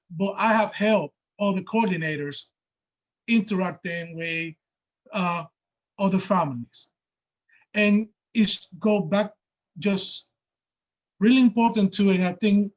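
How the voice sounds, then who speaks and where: A man speaking earnestly on a video call as a panelist, explaining his point with examples. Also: high voice. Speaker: panelist, care coordinator. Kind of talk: casual panel discussion.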